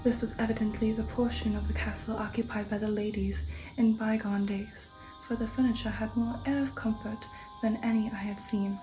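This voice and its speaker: A woman giving an ASMR reading of a gothic novel in a soft, breathy whisper, slow, hushed and measured, close to the microphone. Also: fairly high pitch, little pitch movement, music in the background, poor audio. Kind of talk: ASMR audiobook narration.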